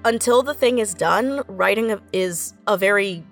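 A woman's voice: medium pitch, moderate pace. A woman speaking as a writer guest in a casual interview, thoughtful and encouraging. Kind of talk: casual reflective interview talk.

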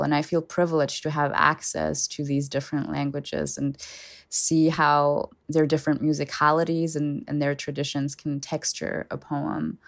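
A low-pitched young woman, born and raised in Germany, talks through her ideas slowly and reflectively. She often circles back to find the right word. The sound is dry and close. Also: gravelly, no room sound. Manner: thoughtful, measured, searching for words. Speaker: poet guest. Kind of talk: reflective conversation.

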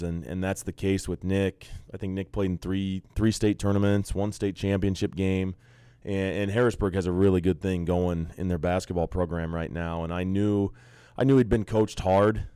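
A man's voice: low voice, clear, resonant tone, no echo. A man who coaches college basketball, talking easily and at length in an interview, often restarting his sentences. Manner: relaxed, talkative, frequent restarts. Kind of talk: casual interview chat.

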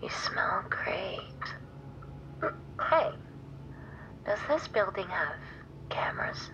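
A woman's voice: medium pitch, sing-song, pushed and bright, dull audio, full character voice. Whispering seductive vampire woman. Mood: seductive, hungry. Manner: slow, breathy, whispered purr. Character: seductive, predatory female vampire, hungrily stalking prey. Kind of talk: audio drama acting.

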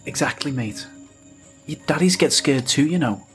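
A man's voice: mid-range voice, lively intonation, moderate pace, faint music behind, close to an everyday voice. A man acting in an audio drama as a protective father, coaxing his young son in an informal way. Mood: coaxing, concerned.

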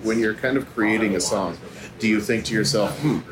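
Guy host asking rambling questions, low voice, loud café crowd all around. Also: a little fast, low-quality recording. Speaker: podcast host. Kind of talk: interview questions. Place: downtown coffee shop. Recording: loud coffee shop crowd behind.

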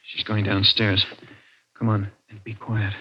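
A man's voice: lowish voice, monotone, measured pace, close to an everyday voice. Practical young husband, dull old radio sound male actor. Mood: hushed urgency. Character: practical young husband, puzzled, protective, playing down fears. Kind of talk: 1940s radio suspense acting. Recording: dull vintage 1940s radio, faint hiss.

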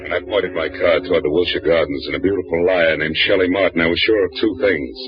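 A man's voice: low-pitched, fairly even intonation, fast. A man playing a hard-boiled private detective who narrates a 1940s radio drama in a dry, wisecracking, clipped way. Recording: muffled old radio broadcast.

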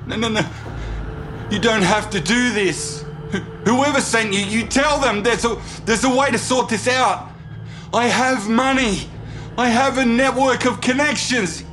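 An actor in an audio drama playing a frightened, wealthy lawyer who stammers, rambles and pleads. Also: male voice, sits high.

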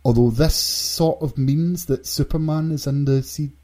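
A man's voice: a little high, steady tone, unhurried, darkish tone. A man hosting a casual podcast, reading out news and giving his opinions in a dry, blunt way. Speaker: podcast host.

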